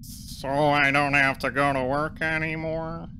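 An actor playing a sullen, haughty alien front desk clerk who scorns humans, deadpan and grudging, in a comic audio drama. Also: medium pitch, unhurried, slight background noise. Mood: flat, fed up.

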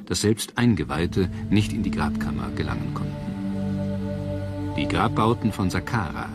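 A man reading scripted documentary narration in a measured, formal manner. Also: sits low, expressive. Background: background music.

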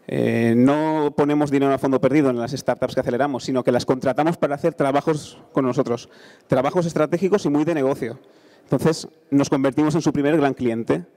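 A man's voice: medium pitch, darkish tone. A man in his thirties with an accent from Spain gives an informal, conversational conference presentation into a handheld microphone on stage. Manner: conversational, frequent check-in tags. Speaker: conference speaker, engineer presenting a startup accelerator.

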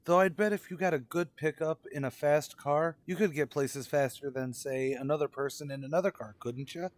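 An actor playing an anxious, obsessive motorist in a radio suspense drama, speaking dramatically and halting when rattled. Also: male voice, on the high side, no room sound, lightly acted. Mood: awkward, probing. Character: haunted cross-country motorist, man, anxious, increasingly obsessive. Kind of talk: old-time radio suspense drama acting, first-person narration and dialogue.

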